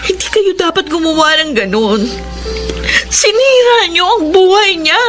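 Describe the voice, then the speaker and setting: A high voiced young niece in a radio drama, emotional and pleading, loud music under it. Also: female voice, expressive, measured pace. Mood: hurt, accusing. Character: earnest, grieving young niece of a kidnapper. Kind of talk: radio drama acting and first-person narration.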